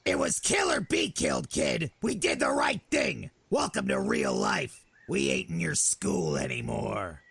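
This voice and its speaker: A man voices a gruff soldier hero for an audio drama. His voice is very husky and swings widely in pitch, with blunt, folksy delivery. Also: huge pitch swings, a little slow, hardly any pauses, fairly bright tone, no echo, exaggerated voice. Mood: blunt, hardened.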